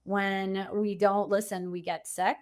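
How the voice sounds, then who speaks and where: A woman's voice: medium pitch, level tone, slow, darkish tone. A middle-aged woman speaking as an interview guest, reflective and conversational. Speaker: podcast guest, coach and author.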